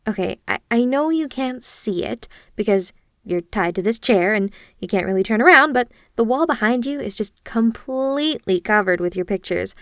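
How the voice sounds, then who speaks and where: A lovesick yandere kidnapper played by a woman speaks in a fairly high voice, close and dry, as if to one captive listener. She is doting and possessive, yet flustered and hesitant, in the style of an ASMR roleplay. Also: lively intonation, moderate pace, a bit bright, dry recording. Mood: sheepish, flustered. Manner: gushing, hesitant and awkwardly earnest.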